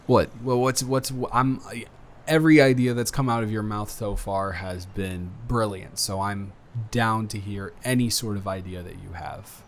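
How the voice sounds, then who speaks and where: A young man playing an earnest, awkward college student smitten with a dormmate, rambling and halting in an intimate roleplay audio drama. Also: fairly low pitch, steady tone, a little hiss or hum, close to an everyday voice. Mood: eager, supportive. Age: young adult.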